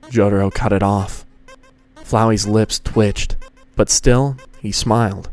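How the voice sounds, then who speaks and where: A man narrates a piece of fan fiction. His voice sits fairly low as he reads the scripted prose. Music plays quietly behind him. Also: steady tone, slow pace.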